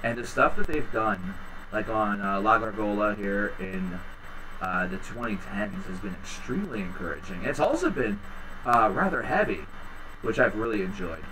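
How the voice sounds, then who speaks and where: A man in his thirties hosting a home livestream, chatting casually with viewers in a rambling, low-key, tired way. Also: animated, normal speed, sharp tone, recorded from a distance, a little hiss or hum, low-quality recording. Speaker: livestream host reading chat. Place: small home room indoors. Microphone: headset.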